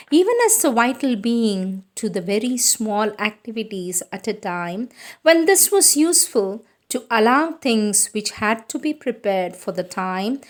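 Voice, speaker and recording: A woman reads devotional prose in a fairly high voice at a very slow pace. Her delivery is solemn and deliberate, with formal, measured phrasing throughout. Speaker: reader of devotional writings. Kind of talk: solemn scripted reading of spiritual prose.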